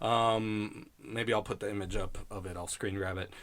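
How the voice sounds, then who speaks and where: A man's voice: lowish voice, fairly even intonation, moderate pace, a bit bright, no echo. A man giving a casual, rambling spoken review in a blunt, sweary, grumbling manner. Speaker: host of a TV criticism show.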